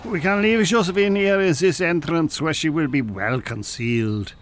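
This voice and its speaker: An actor playing a pompous, scheming French professor in a comedy audio drama, with a theatrical manner and a French accent. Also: male voice, on the high side, moderate pace, background noise, lightly acted. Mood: brisk, insistent. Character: pompous, scheming French professor and time-travelling mad scientist.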